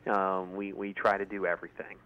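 A man's voice: sits low, level tone, brisk, clean tone, phone-quality sound. A man who is a guest on a radio show, talking about his work with enthusiasm and many fillers. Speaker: guest, event organizer. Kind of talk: casual radio interview. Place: radio studio.

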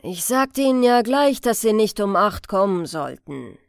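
A woman voicing a sly, sardonic male police chief inspector in an audiobook, speaking in a deliberate, thoughtful manner. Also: mid-range voice, big pitch swings, gravelly, dry recording, clean studio sound. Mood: smugly amused.

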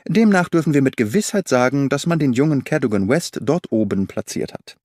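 A man voicing a brisk, confident detective who explains his reasoning eagerly, in an audiobook reading. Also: no echo, studio quality, lightly acted. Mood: eager explaining. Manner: animated, briskly explaining, lifted pitch.

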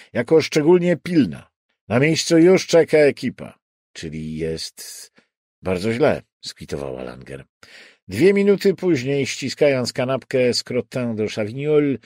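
Man narrating, with a lively, swinging intonation. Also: medium pitch, measured pace, dry recording, studio quality. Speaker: audiobook narrator. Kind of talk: scripted narration of a crime novel.

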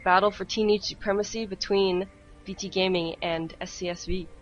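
A woman co-hosting a casual live esports stream, speaking in a somewhat hesitant, halting way. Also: medium pitch, fairly even intonation, lo-fi sound. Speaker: esports co-caster. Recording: music playing under the voice. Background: music.

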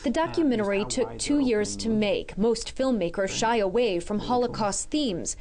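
A woman in her thirties reporting the news in a measured, scripted delivery with a handheld mic. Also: on the high side, music in the background. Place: city street outdoors.

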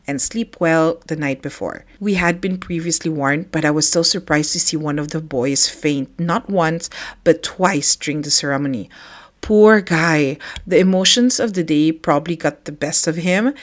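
A middle-aged woman from the Philippines, hosting a podcast, telling a personal story in a chatty, reflective way with light laughs. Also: deep voice. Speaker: podcast host.

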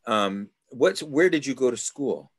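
A man leading a study group over a video call, asking questions in a halting way with many restarts. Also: medium pitch, expressive, husky, no room sound, studio quality. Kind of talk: casual group discussion.

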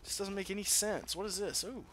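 A man's voice: sits high, fairly quick, a bit bright, slight background noise. A man giving casual, rambling, profane commentary as he hosts a gameplay video. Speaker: gamer hosting a let's play. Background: video game ambience.

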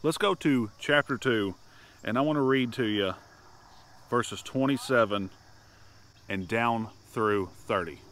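A man's voice: medium pitch, measured pace, long pauses, fairly bright tone. A man in his thirties giving a casual, earnest sermon to the camera from a grassy field at dawn, crickets chirping behind him. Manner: folksy and earnest, greets with "howdy" and "y'all". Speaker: homestead video preacher. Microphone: camera at chest height, talking straight to it.

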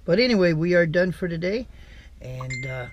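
A middle-aged American man vlogging casually about his day, relaxed and chatty, filming himself in his vehicle and on a porch. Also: fairly high pitch, normal speed, muffled recording. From USA. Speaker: trucker vlogger. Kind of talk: casual vlog chat. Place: in a parked vehicle, on a home porch outdoors. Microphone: camera mic close up.

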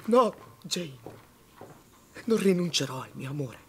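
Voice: medium pitch, expressive, a little slow, close to an everyday voice. An actor dubbing a young man desperately in love in a period film drama, pleading, stubborn and intense. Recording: film dub with faint background noise.

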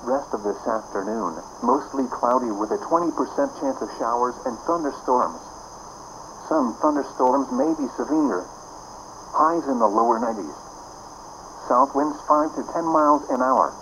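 An American male announcer reads a scripted forecast in a formal, clipped way. His pitch swings up and down and has a creaky edge. It comes over a radio with clear noise around it. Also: very animated, moderate pace, highs cut off. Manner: formal, clipped list-like delivery. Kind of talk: scripted weather bulletin reading. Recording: noisy radio broadcast picked up in a room.

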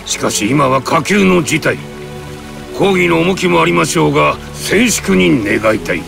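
Super gravelly old clan elder, formal and dignified, fighting game voice, lots of pitch movement. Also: male voice, expressive, heavily acted. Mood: solemn, courteous. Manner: archaic, formal, slow and weighty. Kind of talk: Japanese anime fighting game acting. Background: background music.

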